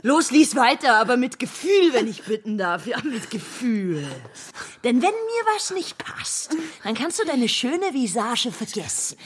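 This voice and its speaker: A woman's voice: fairly high pitch, big pitch swings, measured pace, breathy edge, full character voice. An actress in a radio play voicing an enraged, desperate woman who threatens and commands with biting sarcasm. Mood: menacing, furious. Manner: menacing, sarcastic, commanding, unhinged. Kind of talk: radio drama acting.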